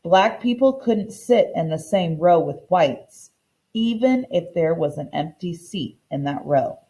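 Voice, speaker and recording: A woman's voice: lowish voice, animated, unhurried, mellow. A woman teacher reading a children's book aloud and explaining it in simple terms for young listeners.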